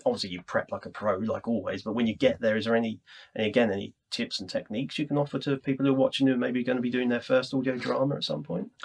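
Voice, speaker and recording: A British man interviewing casually, enthusiastic and chatty, quick to agree with his guest. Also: medium pitch, level tone, creaky, darkish tone, husky. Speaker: interviewer, presenter. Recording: distant ambient room mic.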